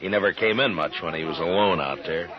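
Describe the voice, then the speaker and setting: A very low-voiced American marshal played by a male actor from an old radio western. He speaks in a measured, understated way, steady and laconic but kindly. The recording is dull and aged. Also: crowd noise, close to an everyday voice. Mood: genial. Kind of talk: old-time radio western acting and narration.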